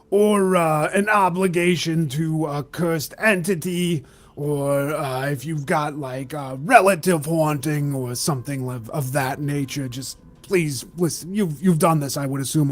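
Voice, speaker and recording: Man playing a jaded, high-pitched desk official. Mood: weary, officious. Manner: world-weary, drawling, condescending. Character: jaded, sarcastic male registrar at a curse-declaration desk. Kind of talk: improvised tabletop roleplay.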